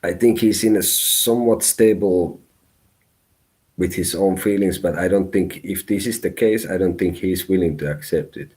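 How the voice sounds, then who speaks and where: A man from Finland whose first language is Finnish, giving a live tarot reading in halting English as he searches for words. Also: sits low, warm tone. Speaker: tarot reader.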